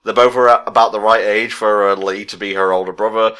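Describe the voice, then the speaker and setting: Low, bright-voiced male game commentator. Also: steady tone, a little fast. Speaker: let's play commentator. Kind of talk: casual co-commentary on a video game.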